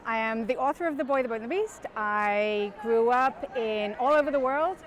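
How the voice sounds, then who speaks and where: A middle-aged woman born in Guyana, an author chatting as a guest in an interview, talking in quick bursts and correcting herself as she goes. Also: on the high side, slight background noise. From born in Guyana, raised abroad. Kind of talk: casual interview chat. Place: parked van.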